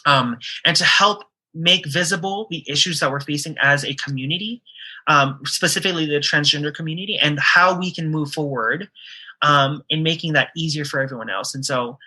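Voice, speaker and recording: Bright-voiced woman guest, earnest and halting. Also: deep voice, breathy edge. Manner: earnest, frequent um fillers and restarts. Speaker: podcast guest. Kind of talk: casual podcast interview.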